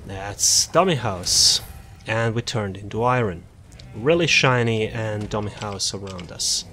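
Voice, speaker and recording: Guy talking through his game build, kinda low voice, loose and rambly, faint room hum behind. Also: a little slow. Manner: relaxed, rambling, many fillers and restarts. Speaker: gaming content creator. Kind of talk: casual build showcase commentary.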